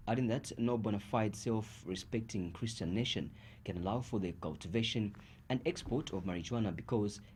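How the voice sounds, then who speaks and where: A man's voice: fairly low pitch, normal speed, darkish tone, a little hiss or hum. A man with a Zambian accent reading scripted news as a bulletin presenter. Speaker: news reader.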